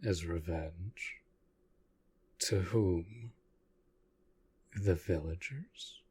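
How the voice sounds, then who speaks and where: A very low, breathy voice belongs to an ancient vampire lord , acted by a man in an audio drama. He speaks very slowly and formally, with imperious, aloof authority. His husky tone often sinks toward a whisper, carrying cold menace. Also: mellow, full character voice. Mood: calm, darkly menacing. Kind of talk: scripted audio roleplay drama.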